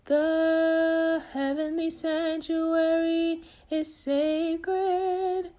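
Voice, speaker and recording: A woman singing a slow devotional song. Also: extremely high pitch, level tone, warm tone, faint music behind. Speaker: singer. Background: musical accompaniment.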